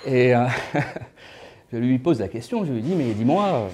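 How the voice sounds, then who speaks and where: A man in his thirties lectures to a small audience from his seat in an indoor room by a window, picked up by a camera a short way off. His voice is soft and warm. He keeps the tone loose and chatty, slipping in playful asides to the listeners. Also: mid-range voice, lively intonation, measured pace, a little hiss or hum. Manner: conversational, with joking asides to the audience. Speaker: guest speaker.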